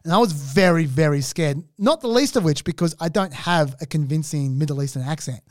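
An Australian man telling a story on a podcast in an animated way, laughing often and restarting his sentences. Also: on the high side, a little fast, dry recording, clean studio sound. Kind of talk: casual storytelling chat.

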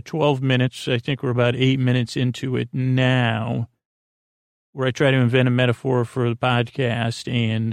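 A man with a creaky voice hosting a bedtime podcast in a halting, meandering monologue, gently reassuring as he stammers and circles back on his phrases. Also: medium pitch, no room sound, studio quality. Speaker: podcast host.

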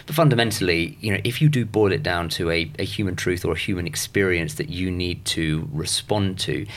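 Low-voiced guy hosting a podcast, lots of mm and yeah while the guest talks. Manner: measured, frequent short backchannels. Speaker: podcast host. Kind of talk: interview hosting.

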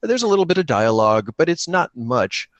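Mid-pitched man chatting earnestly, dry sound. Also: sing-song, brisk, fairly bright tone, dry recording. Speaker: podcaster and guest. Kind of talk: casual chat about gaming.

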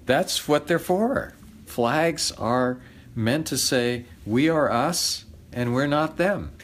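A man's voice: mid-range voice, animated, slow pace. A man answering interview questions with emphatic, rhetorical explanations. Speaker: flag expert guest. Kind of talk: lively explanatory interview talk.

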